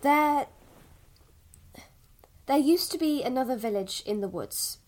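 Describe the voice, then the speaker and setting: Gentle, higher-pitched village mother, acted by a woman. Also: lively intonation, slow pace, a little hiss or hum. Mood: gentle, explaining. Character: gentle village mother, patient, evasive about the past. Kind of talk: audio drama acting.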